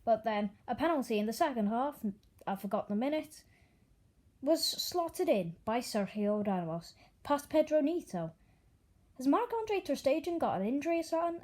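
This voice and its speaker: A young woman with an American accent reads off football scores in a relaxed, chatty way. Her voice sits a little high but sounds soft and mellow. She tosses in dry, offhand asides as she scrolls through the results. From Italy. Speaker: sports news presenter. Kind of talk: casual football results roundup.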